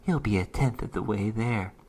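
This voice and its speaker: A seductive demoness from a dark fantasy storybook, voiced by a man, speaks in a low, coaxing voice. She is cunning and sultry, bargaining with temptation. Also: normal speed, slightly rough.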